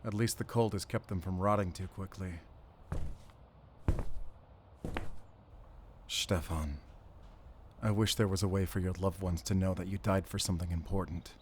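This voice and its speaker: A man playing a weary, determined werewolf hunter in a cinematic audio roleplay, speaking pensively in short, halting phrases. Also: low-pitched, slight background noise, close to an everyday voice. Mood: somber, weary. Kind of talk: cinematic audio roleplay acting, addressing a silent companion. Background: cinematic ambient sound effects.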